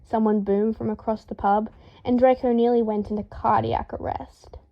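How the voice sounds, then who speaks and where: A woman reading fiction aloud as an expressive audiobook narrator, in a slightly dull lo-fi recording. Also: fairly high pitch, moderate pace. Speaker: fan fiction audiobook reader.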